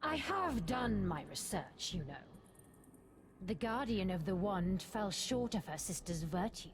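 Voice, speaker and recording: A woman voicing a scheming, haughty necromancer villain in a video game, deliberate and condescending in a theatrical way. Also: mid-range voice, animated, slight background noise, close to an everyday voice. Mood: smug, condescending.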